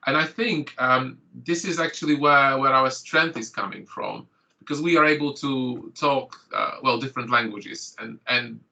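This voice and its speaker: A man's voice: medium pitch, moderate pace, creaky, pushed and bright. A middle-aged man whose first language is Polish, a doctor opening an online training course in a chatty manner full of fillers and restarts, speaking into a computer mic on a video call. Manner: chatty, filler-heavy, self-correcting.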